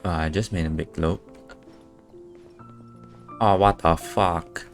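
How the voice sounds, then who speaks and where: Low, slow-talking male game streamer. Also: fairly even intonation, soft background music. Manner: muttering to himself, sweary. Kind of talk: casual, reactive gameplay commentary.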